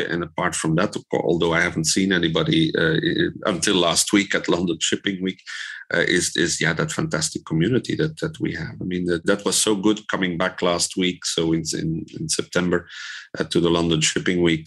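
Deep voiced guy from belgium, 50s, chatting as an interview guest. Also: fairly bright tone. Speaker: interview guest, trade association director. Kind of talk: conversational podcast interview.

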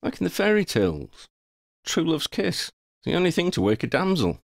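A man's voice: on the high side, wildly swooping pitch, moderate pace, gravelly, no echo, studio quality, pitched above the performer's own voice, exaggerated voice. Young hero friend, read by a man: matter-of-fact, urging, teasing, very varied intonation, audiobook style. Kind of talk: audiobook character voice.

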